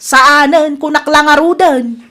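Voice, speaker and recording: A woman's voice: sits high, fairly even intonation, a little slow, bright, forceful tone. A woman with an Ilocano Filipino accent acting a flustered village wife in a radio comedy drama. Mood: defensive. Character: flustered, blame-casting village wife, later apologetic.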